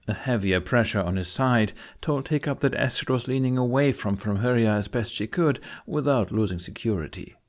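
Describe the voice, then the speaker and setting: A man's voice: medium pitch, moderate pace, hardly any pauses. A man reading scripted, dramatic fantasy narration as an audiobook narrator.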